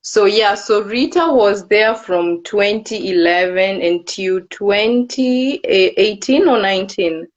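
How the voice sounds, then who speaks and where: Slow-talking woman on a muffled livestream. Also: medium pitch. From Kenya. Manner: unhurried, hesitant with frequent fillers. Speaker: host of a live video. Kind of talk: casual chat on a livestream. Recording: dull lo-fi livestream audio.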